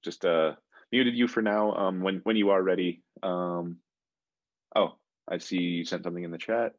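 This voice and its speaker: Casting: deep-voiced male instructor, hesitant and friendly, over a dull, dry call line. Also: no room sound. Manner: friendly, halting with many ums and restarts. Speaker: coding instructor. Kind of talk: online class, calling on students. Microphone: video call. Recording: muffled video call audio.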